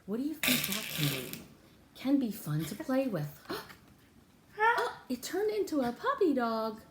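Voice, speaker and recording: A woman teaching and reading a picture book aloud to young children, interactively, in an exaggerated, expressive way with drawn-out words. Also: a little high. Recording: echoey room with faint background noise.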